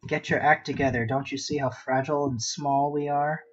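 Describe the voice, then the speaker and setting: A man chatting casually and rambling half to himself as he hosts a live drawing stream, with a husky voice. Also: on the high side, level tone, normal speed, muffled recording. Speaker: art livestream host. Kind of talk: casual chat while sketching.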